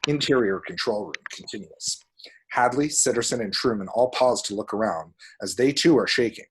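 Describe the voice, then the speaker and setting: A man's voice: mid-range voice, normal speed, background noise. A man reading screenplay action descriptions aloud as dramatic, vivid narration at a table read.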